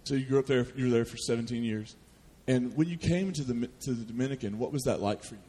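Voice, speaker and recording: A man's voice: medium pitch, fast talker, slightly rough. Man hosting an onstage interview. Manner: upbeat and friendly in the introduction, then soft and gentle with his questions. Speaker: host interviewer. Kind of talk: onstage interview before an audience. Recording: reverberant hall.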